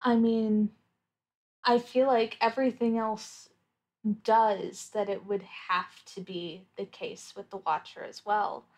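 A woman's voice: medium pitch, steady tone, slow, darkish tone. A woman playing a tabletop roleplaying game, talking casually and thinking her answers through aloud in a thoughtful way. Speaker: player in an actual play podcast.

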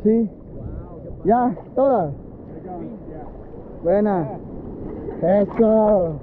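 A man's voice: high-pitched, extremely slow. A man chatting casually as he films out in the sea, often in short exclamations. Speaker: vlogger filming. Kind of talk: casual chat while filming. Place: outdoors in the sea off a beach. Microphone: handheld action camera in the water. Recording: muffled action camera, noisy sea water.

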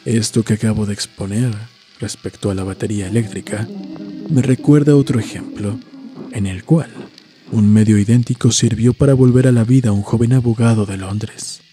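A man reading a scripted horror story in a measured, literary storytelling manner. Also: lowish voice, fairly even intonation, mellow. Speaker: narrator. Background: music.

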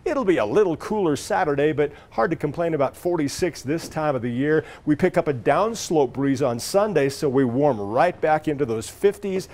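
A man's voice: on the high side, lively intonation, normal speed, hardly any pauses, darkish tone. A middle-aged man presenting a TV weather forecast in a studio, in an upbeat, folksy, conversational way on a lapel mic. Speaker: TV meteorologist. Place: TV studio in front of a weather wall.

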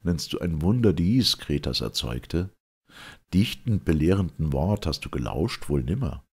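A man's voice: very deep voice, expressive, a little slow, darkish tone, breathy edge, full character voice. A man voicing a chorus of young, sceptical maidens in a declaimed verse drama. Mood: lofty, scornful.

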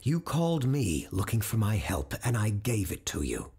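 An actor playing a clinical, self-assured radio host doctor who deals with the supernatural, in an audio drama. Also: male voice, lowish voice, wildly swooping pitch, normal speed, darkish tone, slightly rough, exaggerated voice. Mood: cold. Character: cold calculating male radio host doctor, supernatural expert.

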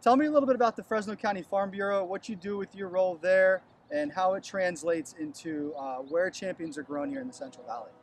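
A man's voice: high-pitched, brisk, few pauses, slight background noise. An American man in his thirties hosting a casual, upbeat interview in a chummy, informal way. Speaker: host. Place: outdoors on an empty baseball stadium field.

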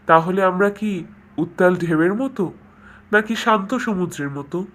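A man's voice: high voice, normal speed, a bit bright. A man playing a devoted young romantic prince in a cartoon fairy tale, speaking earnestly and dramatically. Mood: tender.

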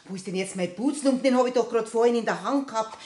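An actress playing a down-to-earth household cook with a Bavarian accent and a brisk, grumbling manner in a 1960s radio play. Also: female voice, a little high, warm tone, lightly acted. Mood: exasperated scolding. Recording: roomy old radio recording.

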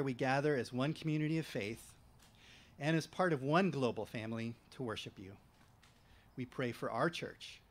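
A middle-aged male pastor leads an outdoor worship service, speaking into a stand microphone at a lectern. His delivery is measured and gentle, and his voice sits at an ordinary pitch. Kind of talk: leading outdoor worship and prayer. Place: outdoors at a church entrance. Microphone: stand mic at a lectern.

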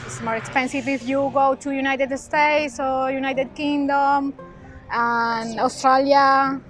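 A woman from Colombia giving casual interview answers in simple, slow phrasing. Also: high-pitched, fairly even intonation, fairly bright tone, faint music behind. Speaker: interviewee, language student.

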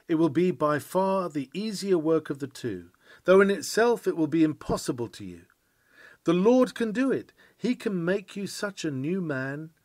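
A man's voice: a little high, a little mellow, dry recording. A man reading an old-fashioned sermon in an earnest, emphatic preaching style. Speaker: reader of a historical sermon.

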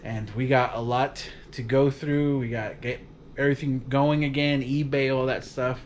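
A man's voice: medium pitch, normal speed, a little hiss or hum. Vlog host in his thirties, easygoing and rambly, filmed at home on a couch by a camera across the room. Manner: relaxed, rambling, laughs often. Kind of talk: casual chat to camera.

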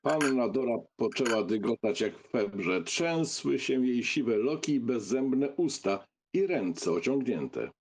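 Male audiobook narrator: raspy mid-range voice, expressive literary storytelling with animated melody. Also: mid-range voice, slow, few pauses.

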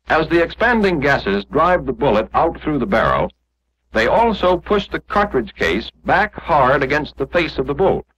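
An American man narrating a scripted 1940s instructional film in a measured, explanatory manner, on an old film soundtrack. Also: a little high, big pitch swings, a bit bright, slightly rough, lo-fi sound.

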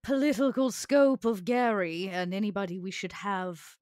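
A woman's voice: mid-range voice, moderate pace, a little mellow, no room sound, studio quality, natural voice. A woman playing a poised, courteous vampire in a roleplay game, choosing her words formally and carefully. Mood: polite, composed. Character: poised, courteous vampire, a weary retired enforcer. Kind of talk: tabletop roleplay acting.

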